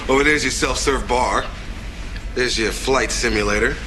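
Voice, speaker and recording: A man's voice: mid-range voice, normal speed, background noise, lightly acted. A man playing an easygoing, wry fighter pilot in a video game, talking like a playful tour guide. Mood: breezy, joking. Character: easygoing, wry young fighter pilot showing a newcomer around. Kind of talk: video game cutscene acting. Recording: clear music behind the voice.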